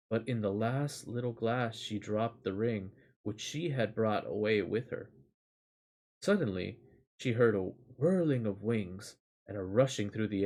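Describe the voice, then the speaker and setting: A man's voice: fairly low pitch, a little mellow. A man reading a fairy tale aloud and chatting casually as a streamer, in a loose, rambling manner. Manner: casual, rambling, swears lightly. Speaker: storyteller and streamer.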